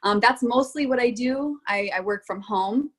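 A bubbly young woman from Florida chats as a podcast guest. She talks freely and laughs often, with a lively, easygoing way of speaking. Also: on the high side, normal speed. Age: young adult. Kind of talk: casual podcast interview.

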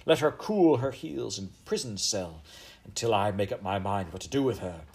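A man's voice: lowish voice, expressive, moderate pace, vocal fry, darkish tone. A man voicing an imperious, hot-tempered, lovestruck Elizabethan English queen in a storybook, with commanding, archaic royal speech. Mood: enraged, commanding. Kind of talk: storybook character voice.

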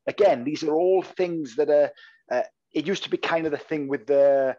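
Welsh male co-host, quick clipped delivery, casual and blunt, slightly muffled lo-fi recording. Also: fairly high pitch, warm tone. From Wales. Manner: quick, clipped speech, swears freely. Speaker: podcast co-host. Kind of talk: casual chat. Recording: slightly dull lo-fi sound.

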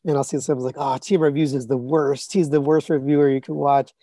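A man in his thirties or forties with a scratchy voice that sits a little high. He talks in a loose, rambling way, full of fillers and restarts, like a casual podcast guest. The recording is dry and close. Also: level tone, quick pace, mellow, dry recording. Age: 30s to 40s. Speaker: guest, collectibles reviewer.

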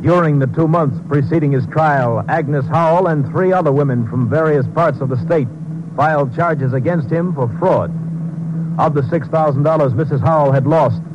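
Old timey radio narrator man, formal report reading, bit high pitched, super noisy recording. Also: normal speed, dull audio. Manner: factual, official report tone. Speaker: epilogue narrator.

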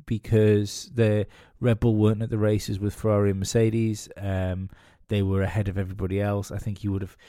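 A man's voice: lowish voice, level tone, normal speed, few pauses, dark tone, no room sound, clean studio sound. A man hosting a solo podcast, talking casually with lots of fillers and restarts, nasal and sniffly from a cold. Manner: rambling, many fillers and restarts. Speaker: podcast host.

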